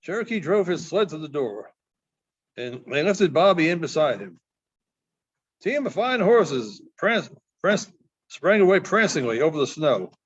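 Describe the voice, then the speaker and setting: Guy reading old short stories out loud, high voice, swings around a lot, kinda muffled recording. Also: sing-song, measured pace, husky. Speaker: librarian reading stories aloud. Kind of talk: expressive storybook narration of short stories. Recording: dull, muffled lo-fi sound.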